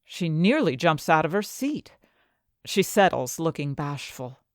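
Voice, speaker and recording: A woman playing an ambitious, conflicted scientist who narrates her own story with dramatic, deliberate phrasing. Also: low-pitched, big pitch swings, no room sound, clean studio sound. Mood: tense narration. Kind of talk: first-person audio fiction narration.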